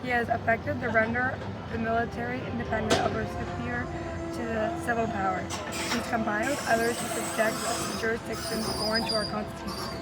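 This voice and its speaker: Flat, halting reader over loud music. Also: mid-range voice. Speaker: reader of a historical document. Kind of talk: public reading aloud.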